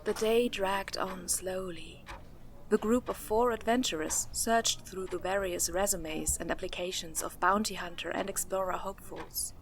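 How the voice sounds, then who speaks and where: A woman's voice: mid-range voice, normal speed, lightly acted. A woman narrating a fantasy audio drama as a scripted storyteller.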